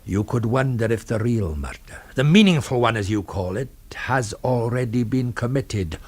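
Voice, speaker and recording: A man's voice: medium pitch, lively intonation, moderate pace, lightly acted. An actor playing a stern, tired male police inspector in a radio drama, clipped, probing and authoritative. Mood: cool, probing.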